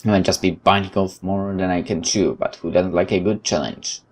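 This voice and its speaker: Male gaming host, lowish pitch, reading game text aloud, informal asides, let's play commentary. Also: steady tone, normal speed.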